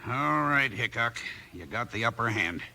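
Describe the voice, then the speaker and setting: An actor playing a stubborn, menacing American mine boss in an old radio western. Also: male voice, medium pitch, animated, normal speed, creaky, sharp tone, full character voice. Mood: grudging, ominous. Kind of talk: 1950s radio western acting. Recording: noisy old 1950s radio recording.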